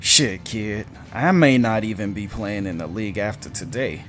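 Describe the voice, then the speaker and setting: A man voicing a blunt, jaded male pro football player who talks gruff and profane. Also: fairly low pitch, animated, moderate pace, a bit bright, faint music behind. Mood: grumbling.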